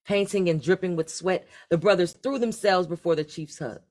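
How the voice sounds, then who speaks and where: A woman reading a children's story aloud in an expressive, deliberate storytelling manner. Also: lowish voice. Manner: animated, deliberate read-aloud delivery. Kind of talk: expressive scripted storybook narration.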